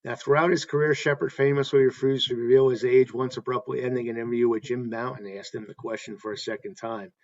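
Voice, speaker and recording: A man hosting a tribute talk, reading anecdotes in a halting way and correcting himself as he goes. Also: medium pitch, fairly even intonation, muffled recording. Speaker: host paying tribute to a stadium announcer.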